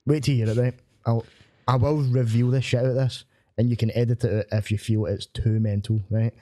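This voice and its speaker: A young Scottish comedian chatting as a podcast guest in a studio, animated and swearing freely. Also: male voice, mid-range voice, a little fast. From Scotland. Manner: animated, swearing, laughing often. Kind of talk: casual chat. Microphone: studio mic on boom arm.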